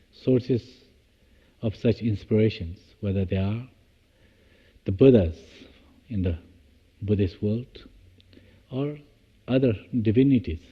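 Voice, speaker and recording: A man leading a guided meditation, speaking in measured, halting short phrases with long pauses. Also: lowish voice, slightly rough, long reverb tail, highs cut off. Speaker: meditation teacher.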